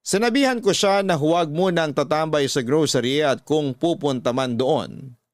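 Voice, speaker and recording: Male radio narrator, dry slightly high voice. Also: normal speed, dry recording. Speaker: radio drama host reading a letter. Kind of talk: first-person scripted narration of a listener's letter.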